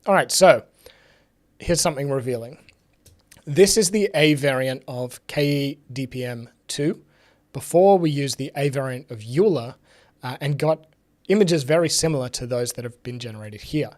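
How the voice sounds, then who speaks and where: A young man with a slightly raspy voice, recovering from illness, hosting a casual live tech stream from a home office. He thinks aloud with many fillers and drops in dry, sarcastic asides. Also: mid-range voice, normal speed. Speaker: livestream host. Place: home office with bookshelves, indoors.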